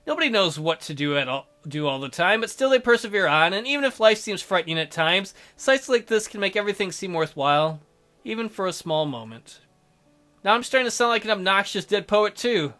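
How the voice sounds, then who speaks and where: A man's voice: sits high, bright, forceful tone. A man reading visual novel narration aloud as a dramatic let's play narrator, with music behind him.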